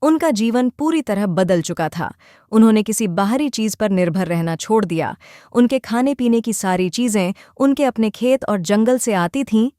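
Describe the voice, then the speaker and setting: A woman narrating a children's moral story in steady, measured storytelling. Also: medium pitch, no echo, clean studio sound.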